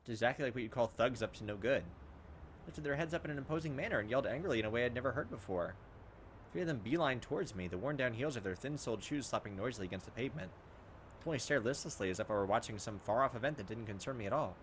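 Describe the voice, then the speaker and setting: Fast, animated man narrating a game. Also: mid-range voice, a little hiss or hum. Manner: animated, wry joking asides. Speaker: gameplay host reading a visual novel aloud. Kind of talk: let's play narration with casual commentary.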